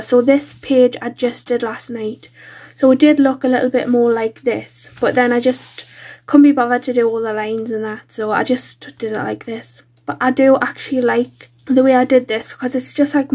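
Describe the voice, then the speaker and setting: A British woman speaks in a fairly high, even voice that is husky and congested from a cold. She rambles informally in a self-deprecating way, like a casual craft vlogger talking to viewers. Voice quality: congested, nasal. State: bunged-up nose from a cold. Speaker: colouring channel host. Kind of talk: casual chatty craft vlog.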